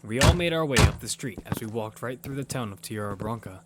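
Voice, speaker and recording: A man's voice: medium pitch, lively intonation, a little fast, very noisy, natural voice. An actor playing a wary young man who narrates and speaks in a battle-survival audio drama. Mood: narrating, tense. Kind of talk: first-person audio drama narration and dialogue. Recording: music behind the voice.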